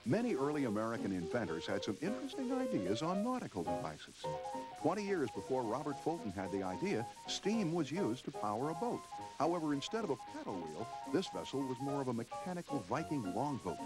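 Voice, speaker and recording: A man narrating a scripted feature segment with a lively storytelling delivery. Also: mid-range voice, fairly quick, low-quality recording. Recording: narration over loud music.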